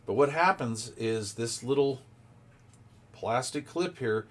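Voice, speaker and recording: A man's voice: mid-range voice, animated, slow. A man giving a casual, hands-on unboxing commentary, explaining details informally and thinking aloud as he goes. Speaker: product reviewer.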